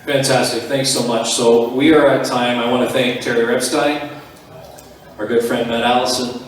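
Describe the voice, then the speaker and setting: A man moderating a conference panel, upbeat and brisk. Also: mid-range voice, level tone, slight background noise. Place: large conference hall. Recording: echoey hall, mic far off.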